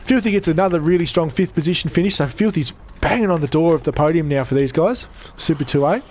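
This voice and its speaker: A man commentating an online racing league, croaky from losing his voice, upbeat and chatty with viewers. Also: on the high side, fast, a little mellow. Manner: upbeat, chatty, reads out chat. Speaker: race commentator. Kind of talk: live sim racing commentary.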